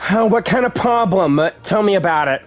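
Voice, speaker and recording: A woman's voice: mid-range voice, animated, a little fast. Female gamer, middle register: casual, chatty playthrough commentary, reacting aloud over faint music.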